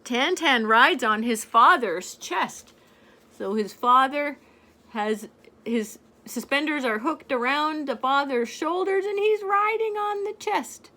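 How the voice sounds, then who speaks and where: An older woman reading a picture book aloud to a child in a gentle, playful way, pointing out the pictures as she goes. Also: sits high, expressive, slow. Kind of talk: storybook read-aloud to a child.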